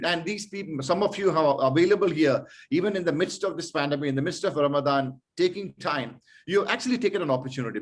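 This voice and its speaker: A man chairing an online speaking club meeting, hesitant with fillers, then giving an earnest motivational talk full of rhetorical questions. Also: on the high side.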